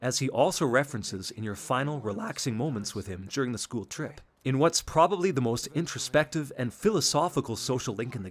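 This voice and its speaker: A man hosting a video essay, delivering scripted commentary on a video game. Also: mid-range voice. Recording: music under the voice. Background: background music.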